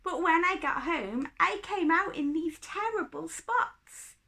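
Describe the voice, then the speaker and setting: A woman voicing an imperious, boastful little princess in a children's story, with a haughty, brisk, emphatic manner. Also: extremely high pitch, animated, vocal fry, bright, forceful tone, pitched above the performer's own voice, full character voice.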